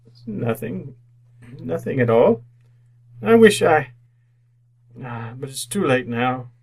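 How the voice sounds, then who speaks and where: An actor playing an old miser shaken by remorse and wistful joy, in old-time radio drama style, speaking haltingly and repeating words. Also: male voice, medium pitch, big pitch swings, gravelly, a little mellow, slightly rough, full character voice. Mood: wistful, regretful.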